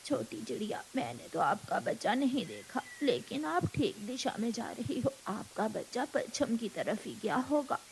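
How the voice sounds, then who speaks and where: A woman voicing a sympathetic elder female bird in a cartoon, quiet and measured as she gives advice. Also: fairly high pitch, big pitch swings, vocal fry, a little hiss or hum, voice pushed down.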